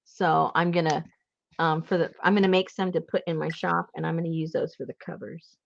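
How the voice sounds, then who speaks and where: A woman's voice: deep voice, little pitch movement, a little fast, gravelly, lo-fi sound, a little hiss or hum. A woman hosting a casual live craft show, chatting and showing papers to the camera. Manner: chatty, laughs often, shows papers to camera. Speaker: host selling journal kits.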